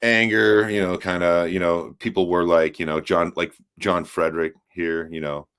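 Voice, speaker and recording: A man calling in to a livestream on a laptop's built-in microphone, speaking haltingly with lots of fillers. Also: low-pitched, flat delivery, a bit bright, clean tone. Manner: halting, filler-heavy, self-conscious. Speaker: regular caller and panelist. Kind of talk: casual call-in chat.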